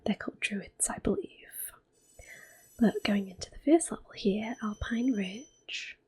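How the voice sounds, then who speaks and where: A woman softly whispering gentle, unhurried ASMR commentary over a video game, with a breathy, hushed voice. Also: medium pitch, lively intonation. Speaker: gameplay host. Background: game music.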